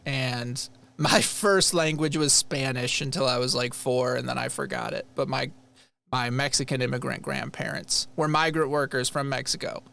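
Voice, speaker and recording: A man's voice: medium pitch, normal speed, creaky. A man from Rochester, New York, hosting a livestream and chatting earnestly as he reacts to a replay. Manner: earnest, rambling, animated. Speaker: livestream host. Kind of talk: casual chat reacting to a replay.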